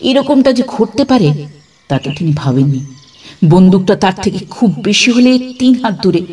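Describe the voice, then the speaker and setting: Higher-voiced man narrating horror, slightly distant. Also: big pitch swings, darkish tone. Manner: suspenseful, pausing between short phrases. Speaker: story narrator. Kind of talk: dramatic scripted horror story narration.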